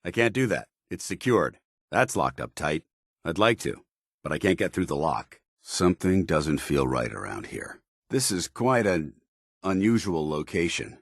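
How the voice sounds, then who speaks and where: Video game soldier: stern, disciplined, formal, low-pitched and husky, with varied intonation. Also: male voice, big pitch swings, a little slow, dry recording, clean studio sound, full character voice. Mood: wary. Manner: formal, military, clipped. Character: stern, disciplined soldier in power armor, middle-aged man. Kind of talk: scripted video game dialogue.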